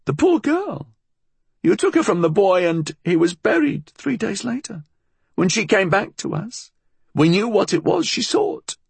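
A man narrating an audiobook plays a frail, cunning old blind woman with a menacing air. The voice is pushed high and swoops about, hissing and husky, with bitter formality in every phrase. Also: very animated, unhurried, dry recording, heavily acted. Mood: bitter, scornful.

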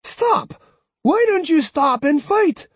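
A man reading an audiobook, voicing a spiteful, shrill old woman who taunts and scolds. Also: very animated, slow, darkish tone, slightly rough, no room sound, heavily acted. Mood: shrill alarm. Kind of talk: audiobook character voice.

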